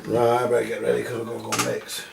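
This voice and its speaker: A man's voice: mid-range voice, flat delivery, fast, creaky, heavy background noise. A man giving casual, off-the-cuff commentary as he presents his radio repair, chatting informally to viewers.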